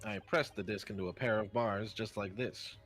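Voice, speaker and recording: A man voicing an eccentric, self-satisfied gentleman detective in a game fan dub, speaking in a grand, wordy, formal way. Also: lowish voice, fairly even intonation, normal speed, faint music behind, natural voice. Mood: proudly explaining.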